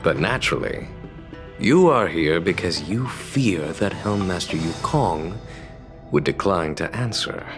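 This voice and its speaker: A man acting as a wise, courteous military commander in a video game, speaking in a formal, measured and deliberate manner. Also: low voice. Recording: background music under the voice.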